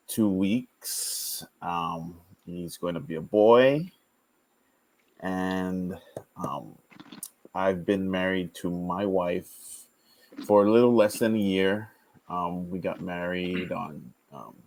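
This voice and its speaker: A man in his thirties from Hawaii, co-hosting a casual podcast, hesitant with many pauses. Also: deep voice, dry recording, rough recording. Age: 30s. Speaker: podcast co-host.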